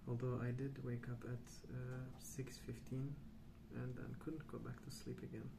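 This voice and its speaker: Male ASMR host, hushed and slow, even-toned and hesitant, with audible background noise. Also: mid-range voice, gravelly. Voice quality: soft, hushed, at times near whisper. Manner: unhurried, hesitant, musing. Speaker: host of a colouring ASMR channel. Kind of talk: soft-spoken ASMR rambling while colouring. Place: indoors at a wooden table.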